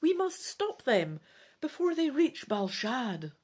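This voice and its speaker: A woman puts on a commanding fantasy sorceress for a storybook adventure. She is grave and earnest, pressing her point urgently with lively, wide swings in pitch. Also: slow pace, slightly rough, exaggerated voice.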